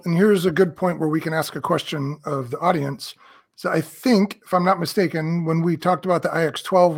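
A man with a slightly higher, husky voice, talking easygoing from a basement workshop at home. He thinks out loud and puts questions to the listeners. The talk is loose and friendly. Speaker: podcast co-host. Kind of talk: casual hobby podcast chat.

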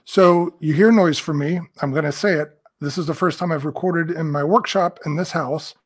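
A man with a slightly higher, husky voice, talking easygoing from a basement workshop at home. He thinks out loud and puts questions to the listeners. The talk is loose and friendly. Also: moderate pace. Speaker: podcast co-host. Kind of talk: casual hobby podcast chat.

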